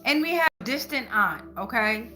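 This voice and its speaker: A woman's voice: on the high side, animated, moderate pace, pushed and bright, faint music behind. A woman hosting a live tarot reading, talking informally to viewers with repeated phrases and frequent check-ins. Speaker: tarot reader and live stream host.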